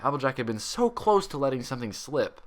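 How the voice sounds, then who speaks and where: A man reading a story aloud as an expressive narrator. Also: medium pitch, quick pace. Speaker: fan fiction reader.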